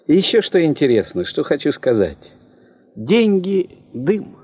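An actor playing a plain-spoken, philosophical gold digger in a 1970s Soviet radio drama, familiar and forthright. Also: male voice, fairly high pitch, big pitch swings, measured pace, darkish tone, no echo. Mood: cheeky, forthright.

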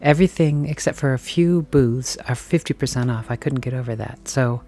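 A woman filming herself as she browses a large antique and thrift shop, chatting casually and musing aloud, sometimes dropping to a soft whisper. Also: rumbling low, moderate pace. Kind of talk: casual walk-and-talk commentary. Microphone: handheld camera mic, filming as she walks.

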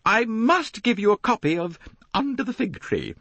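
A man voicing a pompous, gushing older woman novelist in a high, complaining voice. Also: sing-song, moderate pace, gravelly, sharp tone, dry recording, full character voice. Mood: grandiose. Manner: theatrical, self-important, emphatic. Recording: dry, close audiobook recording.

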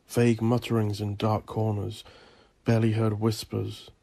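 Male horror narrator, low creaky voice, theatrical and ominous, deliberate phrasing, scripted dark fiction. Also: monotone, no room sound. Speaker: audio drama narrator.

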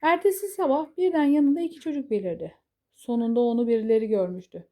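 Female storyteller for children's fairy tales, high pitch, mellow tone, scripted narration. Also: fast talker.

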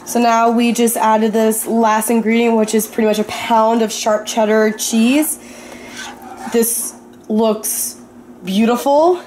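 A woman's voice: a little high, steady tone, takes it slowly, darkish tone, a little hiss or hum. Bubbly young woman cooking at home. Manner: bubbly and dramatic. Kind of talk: casual cooking vlog. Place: home kitchen. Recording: echoey room with faint ambience.

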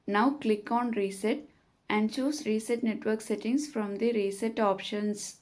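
A woman reading scripted step-by-step tutorial narration in a measured, instructional manner. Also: medium pitch, fairly even intonation, darkish tone.

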